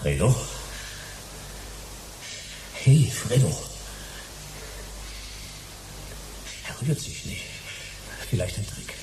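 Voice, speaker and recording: A man's voice: mid-range voice, very animated, takes it slowly, background noise. A man playing a terse, dry, stubborn private detective in a German sci-fi radio play. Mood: wary, dry.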